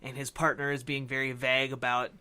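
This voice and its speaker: A man's voice: mid-range voice, little pitch movement, brisk, sharp tone, clean tone. A man co-hosting a podcast, discussing film casually and thinking aloud as he explains. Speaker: podcast co-host.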